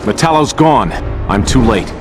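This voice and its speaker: A man plays a steadfast superhero in a video game. He sounds calm, serious and measured, at a medium pitch, with music behind him. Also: close to an everyday voice. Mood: grave. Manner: measured, earnest. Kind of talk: animated superhero game voice acting.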